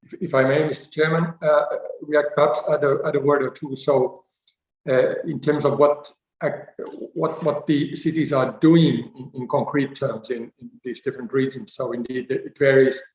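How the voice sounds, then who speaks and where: A man with a Finnish accent speaks at an online conference from an echoey room. His voice is mid-pitched, and he talks haltingly, repeating words as he builds his point. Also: level tone, a little mellow. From Finland. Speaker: conference speaker, climate initiative representative. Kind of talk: spontaneous remarks at an online conference.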